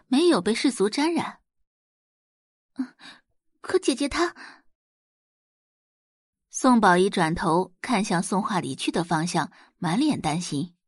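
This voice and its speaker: Female audiobook narrator, expressive scripted storytelling, very varied intonation, close dry sound. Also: mid-range voice, no echo.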